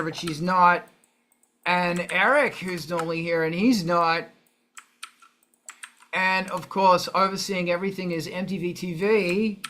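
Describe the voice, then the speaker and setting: Bright, high-voiced man hosting. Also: a little hiss or hum. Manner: sweary, jokey, rambling. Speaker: show host. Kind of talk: casual livestream chat.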